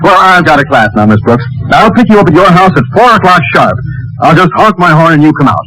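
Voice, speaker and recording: An actor playing a bashful, earnest and oblivious biology teacher in a 1940s radio comedy. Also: male voice, on the high side, big pitch swings, fast talker, sharp tone, a little hiss or hum, rough recording. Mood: earnest, amused. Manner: hesitant, earnest. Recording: old radio recording with studio audience.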